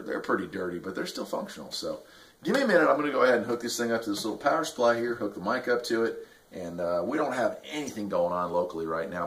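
A man's voice: low voice, fairly bright tone. A man in his forties from the United States, talking casually to camera as he reviews gear in a small workshop. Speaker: vlogger reviewing radio gear. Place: small indoor radio workshop.

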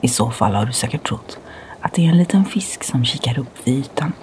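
A middle-aged woman from Sweden with a Swedish accent, whispering ASMR stories softly and slowly in her home library. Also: a bass voice, animated. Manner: soft, slow whisper. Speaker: ASMR creator and storyteller.

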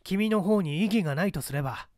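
A man's voice: high voice, expressive, brisk, darkish tone, dry recording, studio quality. A man voicing a defiant, sardonic party operative in an audiobook drama, arguing in formal, rhetorical speech. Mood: businesslike. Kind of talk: dramatic audiobook character dialogue.

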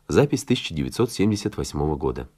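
A male radio announcer with a low-pitched voice. He reads a scripted introduction in a formal, measured way. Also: sing-song, warm tone.

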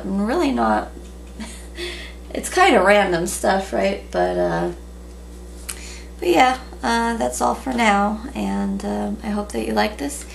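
A woman in her thirties chatting casually in a relaxed way with many ums, recorded at home on a camera at a table. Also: mid-range voice, vocal fry. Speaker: vlogger. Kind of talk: casual haul video chat. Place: small room at home, indoors. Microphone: camera in front at table. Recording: noisy home webcam recording.

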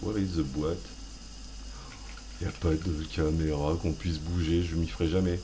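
A man with a deep, low voice talks over a game he is playing. His commentary is rambling and self-mocking, full of playful asides. Game sounds run clearly underneath. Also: flat delivery, moderate pace, background noise. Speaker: gaming video host. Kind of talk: joking let's play commentary.

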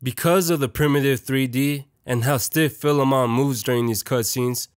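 A man's voice: medium pitch, steady tone, normal speed, clean tone, no room sound, clean studio sound. A young man narrating a casual, slangy video essay in an irreverent, conversational, profane way.

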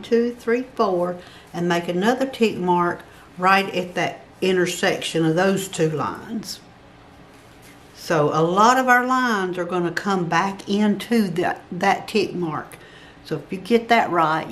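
An older woman talks through a drawing lesson at her work table, counting off squares one by one. Her voice sits a little low and moves at an unhurried, very slow pace. A faint hiss lingers under the recording. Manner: slow, patient counting aloud while drawing. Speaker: craft instructor. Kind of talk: step-by-step drawing tutorial.

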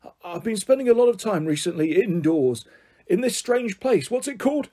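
A man's voice: sits high, sing-song, normal speed, mellow, voice pushed up. A man voicing a muddled, forgetful old grandfather in a children's storybook, rambling through eccentric notions. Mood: muddled, wistful.

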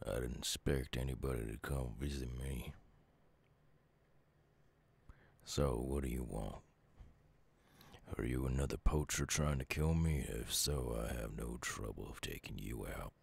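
Very deep, gravelly Southern werewolf. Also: male voice, darkish tone, exaggerated voice. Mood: guarded, menacing. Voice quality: gravelly, husky. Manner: halting, low drawl. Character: gruff wounded male werewolf outcast, weary and guarded. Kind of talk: slow ASMR audio roleplay.

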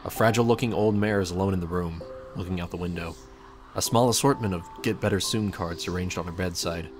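A man's voice: low voice, fast talker, music in the background. A man reading a scripted second-person story aloud as its narrator.

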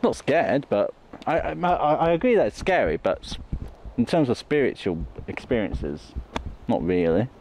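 A man's voice: medium pitch, sing-song, moderate pace, darkish tone, a little hiss or hum. A man chatting informally as a vlog host, rambling through his thoughts on a handheld recording outdoors. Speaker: video host exploring haunted places. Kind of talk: casual vlog chat to camera. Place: outdoors on a woodland walk. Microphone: handheld phone.

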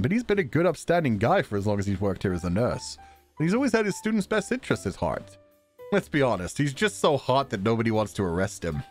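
A male game streamer with a very animated, swooping delivery. He reads dialogue aloud theatrically and comments in a playful, joking way, with game music faintly behind him. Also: medium pitch.

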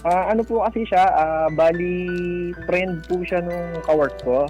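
A Filipino man calling in to share his story, speaking in a hesitant, polite, halting way. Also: high voice. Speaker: caller sharing his relationship story. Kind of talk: casual phone-in storytelling. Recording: loud guitar music under voice. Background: loud plucked guitar music.

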